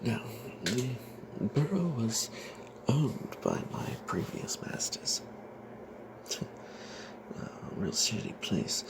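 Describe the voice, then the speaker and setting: Male storyteller, breathy ASMR whisper, crackly vocal fry, unhurried, wry and halting, noisy background. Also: lowish voice. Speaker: storyteller narrating own tabletop character. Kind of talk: whispered ASMR first-person fantasy storytelling. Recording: noticeable background noise.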